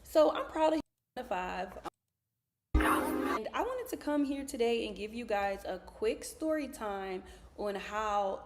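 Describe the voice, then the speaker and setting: A woman talking to camera in a casual story-time review video. She tells her story in a chatty, upbeat, personal way. Her voice sits at an ordinary middle pitch. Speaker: viewer giving a product review.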